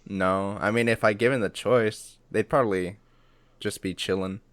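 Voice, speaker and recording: A man's voice: on the low side, fairly even intonation, normal speed, fairly bright tone. A man in his twenties chatting casually as a podcast co-host. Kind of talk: casual group chat.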